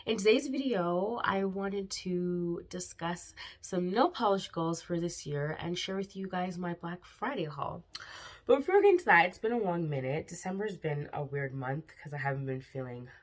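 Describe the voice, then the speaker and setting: Young woman w a low slightly raspy cold voice, casual chatty haul vlog, lots of ums. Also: animated, moderate pace. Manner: chatty, frequent ums, bubbly about products. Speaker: nail polish vlogger.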